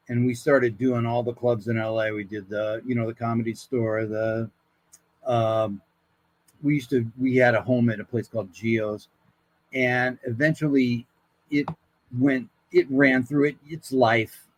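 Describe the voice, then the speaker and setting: A man's voice: medium pitch, steady tone, a little slow, low-quality recording. A man speaking as a guest voice actor, telling stories about himself in a casual, conversational way. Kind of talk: casual autobiographical storytelling.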